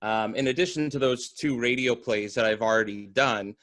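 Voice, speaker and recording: A man talking casually on a panel as a theater producer, wordy and halting. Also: medium pitch, fairly bright tone, no echo. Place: video call.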